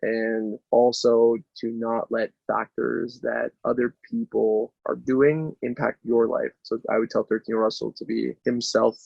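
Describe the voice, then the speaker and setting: Gravelly laid-back man chatting. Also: medium pitch, level tone, measured pace, few pauses, dry recording, dull audio. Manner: laid-back, swears freely, rambling with fillers. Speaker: podcast guest, sports entertainment director. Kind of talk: casual podcast conversation.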